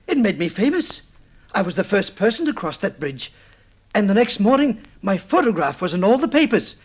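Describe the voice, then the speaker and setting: An actor playing an excitable, obsessive newlywed man in an old-time radio drama, halting and stammering as he tells his story. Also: high-pitched, breathy edge, exaggerated voice. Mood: proud, excited. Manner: halting, stammering repeats, swinging into boastful excitement.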